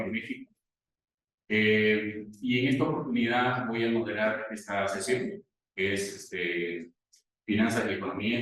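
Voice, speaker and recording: A man's voice: medium pitch, a bit bright. A male moderator with a mid-range voice handles conference introductions. His delivery is informal, practical and a little hesitant. He is heard at a distance in a strongly echoing room. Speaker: session moderator, university professor. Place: echoey conference session room. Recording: very echoey room, distant microphone.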